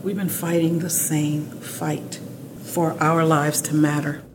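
A woman gives serious interview commentary in a lowish voice. She speaks very slowly and emphatically, with clear background noise around her. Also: level tone. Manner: deliberate, emphatic. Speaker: opera librettist, interviewee.

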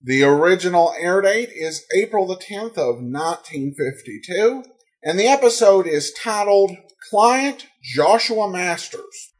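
A man's voice: high voice, expressive, measured pace. A man hosting a podcast, giving introductions and listener notes in an informal, plainspoken way. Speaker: podcast host.

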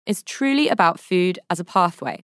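A woman's voice: fairly low pitch, lively intonation, moderate pace, fairly bright tone, smooth, no room sound, studio quality. A woman hosting a podcast, reading scripted introductions and narration. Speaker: podcast host.

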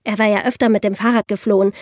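A woman playing a young podcast host who explains crime cases to friends in a clear, patient, conversational way. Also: medium pitch, steady tone, fast, a little mellow, dry recording, clean studio sound, close to an everyday voice. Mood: explanatory, engaged.

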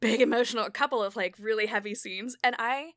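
A woman hosting a casual conversational interview, animated and self-deprecating, often laughing as she talks. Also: a little high, fast talker, no echo, studio quality. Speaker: podcast host and audio drama creator.

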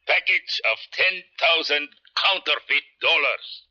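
An actor playing a proud, menacing rebel army colonel in a 1970s radio drama, speaking formally and deliberately with threat. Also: male voice, on the high side, pushed and bright. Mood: bitter anger. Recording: muffled old radio broadcast recording.

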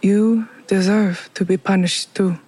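A woman's voice: medium pitch, fairly even intonation, measured pace, warm tone, close to an everyday voice. An actress playing a guilt-ridden, vengeful woman who speaks tersely and without repentance in an audio drama. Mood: grim, resigned.

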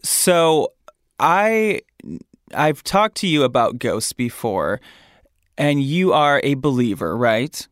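A man from Michigan hosting a podcast in an animated, campy and chatty way, close to the mic. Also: medium pitch, slow, dry recording. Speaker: podcast host. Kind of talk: casual podcast chat.

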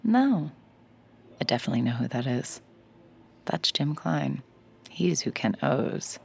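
A woman's voice: extremely low pitch, big pitch swings, measured pace, creaky, slight background noise, heavily acted. An actress playing a sly, cocky young woman poker hustler who narrates her con in a wry, confiding way in an audio drama. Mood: playful. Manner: wry, confiding, self-assured. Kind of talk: first-person audio drama narration and dialogue.

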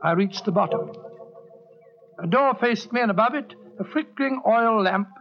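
An actor playing an earnest, upright doctor who narrates in a dramatic, measured way in a 1940s-style radio drama. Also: male voice, sits high, expressive, a little hiss or hum. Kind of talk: 1940s radio acting, first-person narration. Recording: dull vintage radio recording.

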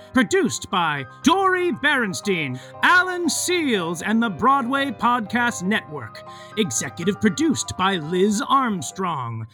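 A man's voice: sits high, bright, forceful tone, full character voice. A man playing a mock-grandiose, tongue-in-cheek narrator of an audio soap opera, delivering scripted narration theatrically. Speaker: narrator reading recap and credits.

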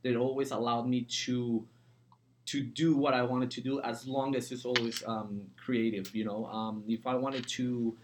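Podcast guest: man, forties, from Mexico, mid-pitched voice, conversational entrepreneur. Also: level tone, unhurried, slight background noise. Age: 40s.

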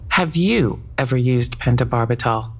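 A woman's voice: very deep voice, animated, moderate pace, exaggerated voice. An actress playing a cool female police detective, dry and accusatory as she questions a suspect. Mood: probing, accusatory. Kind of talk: audio drama interrogation.

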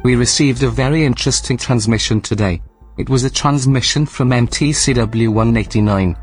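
An actor playing a controlling artificial intelligence in a science-fiction audio drama, speaking deliberately with polite, sardonic menace. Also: male voice, mid-range voice, husky, soft background music, lightly acted. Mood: coldly menacing.

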